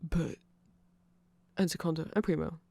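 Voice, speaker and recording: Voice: medium pitch, flat delivery, moderate pace, creaky, dark tone, no echo. A person giving a chatty, rambling ASMR-style fan explainer in a playful, informal way. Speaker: ASMR creator explaining band lore.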